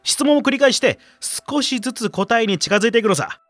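An actor playing a gruff, playful middle-aged stranger with a quietly menacing side, talking roughly and teasingly in a radio drama. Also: male voice, extremely high pitch, expressive, brisk, no room sound. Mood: amused. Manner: rough colloquial speech, teasing, instructing. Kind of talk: radio drama dialogue and storytelling.

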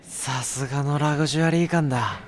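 A man's voice: on the high side, fairly quick, soft background music, lightly acted. A man playing a harried young courier in a radio drama, mixing casual first-person narration with exasperated asides. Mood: wry, pleased. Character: harried young male courier, wry and irritable.

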